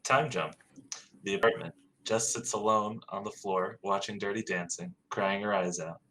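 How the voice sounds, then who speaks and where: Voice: sits low, normal speed, a bit bright, slight background noise, low-quality recording. A podcast host reading stage directions aloud at a casual table read, in a matter-of-fact way.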